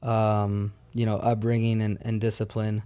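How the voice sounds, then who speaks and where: Clear-voiced man in his thirties, candid. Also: on the low side, completely flat delivery, measured pace, warm tone. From born in Paris, France, moved to America at twelve. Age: 30s. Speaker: podcast guest. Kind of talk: casual storytelling chat.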